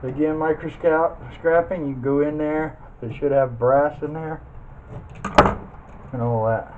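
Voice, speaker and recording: A thin, phone-like recording with clear noise behind it carries a man's somewhat high voice. He is plainspoken and folksy, talking through a hands-on task in a casual tutorial style. At times he mutters to himself as he works. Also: steady tone, unhurried. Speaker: scrap metal hobbyist demonstrating teardown. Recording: muffled phone-like audio, loud background noise.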